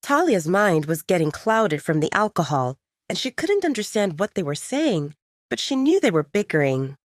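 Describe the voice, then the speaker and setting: A woman's voice: medium pitch, sing-song, moderate pace, no room sound, studio quality. A woman reading scripted romantic fiction as an audiobook narrator, telling the story expressively in the third person.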